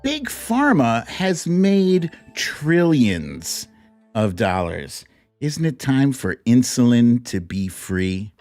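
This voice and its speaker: A man hosting a live streamed show, chatting to viewers in a playful, theatrical way with drawn-out exclamations. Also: medium pitch, very animated, darkish tone, soft background music. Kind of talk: live chat-show talk, greeting viewers by name.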